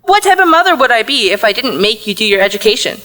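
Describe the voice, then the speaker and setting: A woman's voice: a little high, very animated, quick pace, bright, forceful tone. A woman playing a loving, worried mother of a teenager in a contemporary audio play, speaking earnestly and tenderly. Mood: gently firm.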